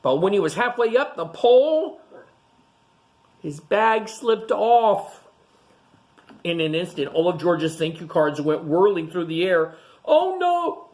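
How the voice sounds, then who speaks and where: Low-pitched woman, animated storytime teacher. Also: slow, pauses often. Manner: expressive, child-directed, repeats and explains simply. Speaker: teacher reading a story to young pupils. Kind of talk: picture-book read-aloud with simple explanations to young children.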